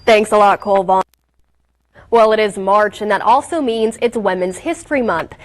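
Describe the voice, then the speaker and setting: A woman's voice: medium pitch, normal speed. A woman anchoring local television news with a scripted delivery.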